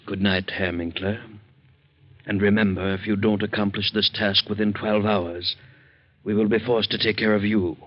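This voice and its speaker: Actor as a cold, low-voiced conspiracy leader. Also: male voice, little pitch movement, dry recording, lightly acted. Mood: menacing. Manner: formal, authoritative, measured threats. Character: cold, menacing male leader of a secret patriot committee. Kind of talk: 1940s radio acting. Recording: muffled old-time radio recording.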